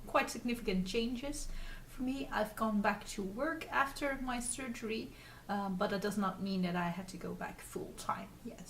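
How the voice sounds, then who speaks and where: A woman from the Netherlands with a Dutch accent, hosting a casual craft vlog in a halting, rambling way while getting over a cold. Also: medium pitch. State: recovering from a cold. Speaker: podcast host. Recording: distant mic with faint noise.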